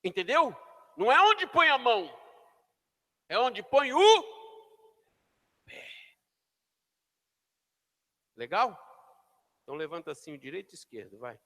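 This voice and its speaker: High-voiced shouting pastor. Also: male voice, wildly swooping pitch, measured pace, long pauses. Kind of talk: sermon. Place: church hall. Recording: long hall reverb.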